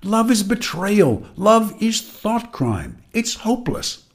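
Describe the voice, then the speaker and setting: A man's voice: sits high, sing-song, slow pace, exaggerated voice. A man playing an ominous, mocking narrator and inner voice in an audio drama, dramatic and portentous. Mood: mocking, goading.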